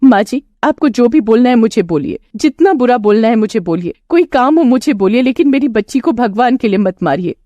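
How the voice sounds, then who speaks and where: A woman's voice: on the high side, expressive, fairly quick, hardly any pauses, mellow, dry recording, muffled recording, close to an everyday voice. A woman voicing a kind, tearful five-headed young village woman, earnest and pleading, in a storybook tale.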